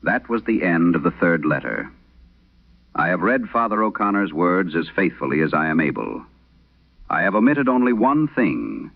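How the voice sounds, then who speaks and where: An actor playing a grave, uneasy host of a horror radio show, narrating in a solemn, deliberate, ominous way. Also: male voice, deep voice, creaky, heavily acted. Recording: muffled vintage radio sound.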